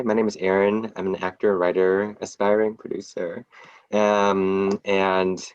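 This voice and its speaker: A man's voice: sits low, no echo. A man, an actor, introducing himself casually over a dull lo-fi microphone.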